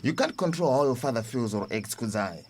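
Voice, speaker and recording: An encouraging boyfriend played by an actor in a radio drama, reassuring and earnest. His mid-pitched voice moves in lively swings over faint insect noise. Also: brisk, close to an everyday voice.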